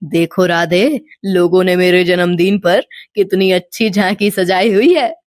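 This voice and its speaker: A woman voicing a serene, teasing young male god in an animated devotional tale. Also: fairly low pitch, a little slow, no echo, clean studio sound, voice pushed down, close to an everyday voice. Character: calm, playful young male god Krishna. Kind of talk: animated devotional storybook acting.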